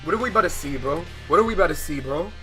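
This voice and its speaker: A man's voice: on the high side, animated, racing, sharp tone. A man livestreaming a game with slangy, profane, exasperated commentary, reading some of the text aloud as he goes. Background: guitar game music.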